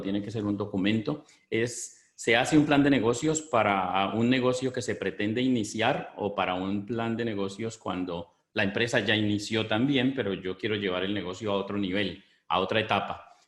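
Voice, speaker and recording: A middle-aged man with a Colombian Spanish accent presents a business webinar over a computer microphone. His voice sits low, and he explains things in a measured way with frequent fillers. The room around him sounds echoey.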